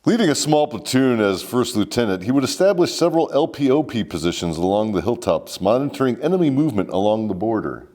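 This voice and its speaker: A man's voice: medium pitch, big pitch swings, fast talker, hardly any pauses, darkish tone. A man hosting a scripted comedic military history story, mock-formal and sardonic with salty slang. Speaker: host and storyteller.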